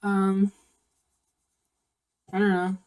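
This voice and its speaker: A woman's voice: mid-range voice, completely flat delivery, very slow, darkish tone. A young woman with a slight cold, chatting casually through a makeup tutorial with lots of ums and self-corrections. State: sniffly, coming down with a cold. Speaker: beauty vlogger.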